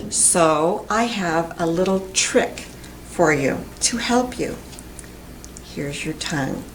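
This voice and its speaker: Middle-aged American female teacher, extremely slow and emphatic delivery, precise enunciation, some room noise on a camera mic. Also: lowish voice, poor audio. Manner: deliberate, emphatic, carefully enunciated. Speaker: English pronunciation teacher. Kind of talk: instructional lesson to camera. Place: indoor classroom in front of a whiteboard.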